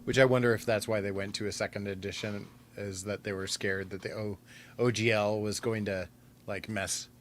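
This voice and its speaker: A man's voice: fairly low pitch, fairly even intonation, moderate pace, slight background noise. A man co-hosting a podcast, talking casually and informally. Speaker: podcast co-host. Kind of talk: casual chat.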